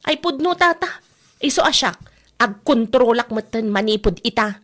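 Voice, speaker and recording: Indignant village aunt actress, high pitch, Filipino Ilocano accent, comedy radio play. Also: female voice, expressive, moderate pace, a bit bright. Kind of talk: comedy drama podcast acting.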